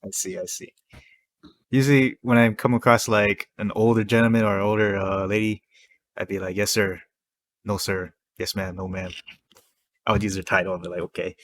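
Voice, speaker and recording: A man's voice: on the low side, fairly even intonation, normal speed, a bit bright. A man hosting a podcast on a headset, laid-back and laughing along with his guest. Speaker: podcast host.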